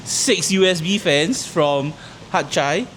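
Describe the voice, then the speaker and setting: A man from Singapore casually telling a friend about his long drive, relaxed and a bit rambling. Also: on the high side, slow pace, slight background noise. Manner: relaxed storytelling, a few fillers. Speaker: road-trip companion. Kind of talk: casual chat.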